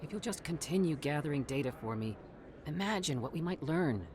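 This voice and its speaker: An actor voicing an eager, evasive alien scientist in a video game, with a persuasive, self-justifying manner. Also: male voice, on the high side, expressive, a little fast, crowd noise, lightly acted. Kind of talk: video game character acting.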